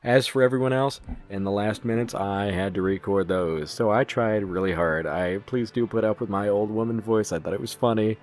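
A man's voice: lowish voice, steady tone, moderate pace, hardly any pauses, a little mellow. A man speaking as a show's creator, giving casual closing credits in an eager, self-deprecating way. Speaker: creator, writer and director.

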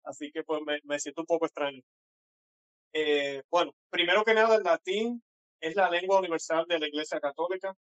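A man with a Puerto Rican accent, a guest speaking in a halting way with frequent pauses over a remote call. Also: fairly high pitch, smooth, dry recording, poor audio. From Puerto Rico. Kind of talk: casual interview talk.

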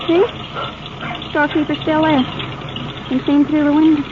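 High-pitched reckless teenage girl, played by an actress, old radio. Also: little pitch movement, moderate pace, natural voice. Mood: taunting. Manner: slangy, goading, needling. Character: reckless, thrill-hungry teenage girl robber, taunting and cold. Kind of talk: 1950s radio drama acting. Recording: muffled, noisy old radio recording.

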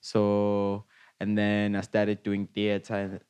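A man's voice: on the low side, completely flat delivery, slow, darkish tone, clean tone. Laid-back young South African man. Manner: laid-back, slangy, rambling with fillers. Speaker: guest. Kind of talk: casual interview chat.

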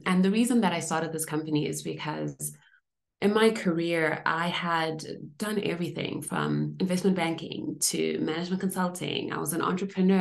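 A woman's voice: deep voice, creaky. A woman from Zimbabwe, an executive coach, explaining her career as a podcast interview guest in an articulate way, laying out points in lists.

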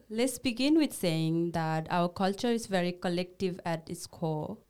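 A young woman whose first language is Nepali reads her lines earnestly and with care. Her voice is on the low side, smooth and crisp. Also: darkish tone. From Bhutanese refugee, grew up in Nepal. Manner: earnest, carefully read delivery. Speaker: social worker, podcast co-host. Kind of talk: scripted conversation.

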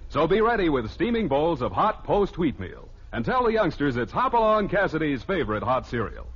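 An American man delivering a 1940s radio commercial as an announcer, with a husky voice. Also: fairly high pitch, sing-song, fairly quick, fairly bright tone. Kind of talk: 1940s radio advertising pitch. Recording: dull lo-fi old radio recording.

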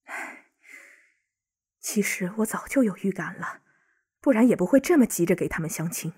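Woman acting a breathy, worried parent. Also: mid-range voice, animated, moderate pace, dark tone. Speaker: voice actor. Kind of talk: modern audio drama acting.

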